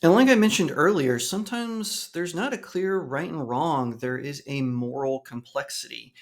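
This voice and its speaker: A man's voice: on the high side, normal speed. A man hosting a video essay, reading scripted narration in a conversational way that speaks straight to the listener. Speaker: host of a fantasy lore channel.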